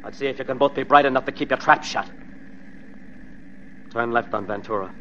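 An actor playing a suspicious armed fugitive killer in an old-time radio crime drama, with an American accent and curt, slangy threats. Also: male voice, medium pitch, a little fast, bright, forceful tone, lightly acted. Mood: curt, threatening. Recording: dull, noisy vintage radio recording.

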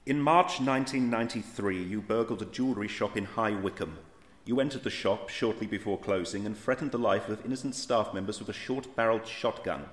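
An actor playing a stern, pompous judge in a futuristic court audio drama, speaking formally and deliberately. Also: male voice, fairly low pitch, lightly acted. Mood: stern, accusing. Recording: roomy echoing sound.

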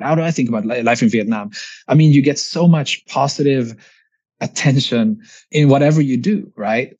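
A man from Sweden in his forties with a Swedish accent, chatting reflectively as an interview guest and often ending thoughts with a quick tag question. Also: mid-range voice, darkish tone. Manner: reflective, chatty, frequent restarts and "right?" tags. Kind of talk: casual interview conversation.

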